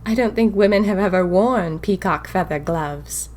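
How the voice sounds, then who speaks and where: A woman acts out a bored, imaginative young typist from the 1920s in a diary-style fiction podcast. Her medium-pitched voice is expressive and wry. She confides each entry with dramatic pauses and a whimsical sense of mystery. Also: close to an everyday voice. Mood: intrigued, smitten. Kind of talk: first-person diary audio fiction narration.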